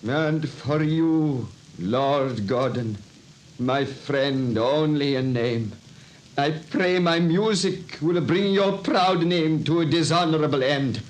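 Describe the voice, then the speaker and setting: A dying violinist speaks his curse slowly and solemnly in a vintage radio play. His voice sits a little high colours every word. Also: low-quality recording. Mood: cursing. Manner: slow, solemn and vengeful. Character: dying Italian violinist cursing his betrayers. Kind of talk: 1930s radio acting.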